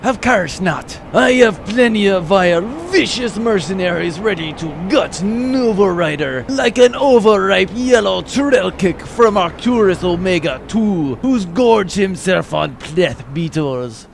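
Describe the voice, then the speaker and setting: A man's voice: high-pitched, expressive, a little slow, vocal fry, hardly any pauses, a little hiss or hum, heavily acted. A man playing a bombastic, vicious and pompous space pirate with florid bluster in a comic sci-fi audio drama. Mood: menacing bluster.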